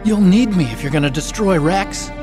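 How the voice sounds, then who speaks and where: An actor playing an earnest, sensitive, nerdy young robotics engineer and guilt-ridden idealist in a video game, with a boyish manner. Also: male voice, high-pitched, lively intonation, normal speed, over music. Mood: determined. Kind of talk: video game cutscene acting.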